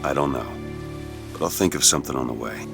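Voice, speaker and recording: An actor voicing a hardened, protective ex-detective in a video game, speaking in terse commands. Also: male voice, rumbling low, fast talker, gravelly. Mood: uncertain. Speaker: hero protagonist. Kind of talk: video game cutscene voice acting. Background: loud music and gunfire.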